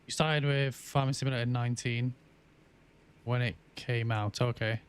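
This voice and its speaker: A man hosts a live game stream, chatting loosely with viewers as he plays. His voice is clean and smooth at an ordinary middle pitch. He thinks aloud, breaking off with ums and trying his sentences again. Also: fairly even intonation, moderate pace. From England. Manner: chatty, reads out and answers viewer chat with many ums and restarts. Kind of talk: casual live-stream chat while playing.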